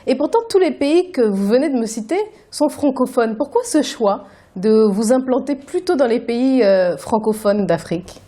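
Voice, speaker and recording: A woman's voice: a little high, expressive, moderate pace, warm tone. A woman interviewing a guest for a TV news channel, pressing him with probing follow-up questions.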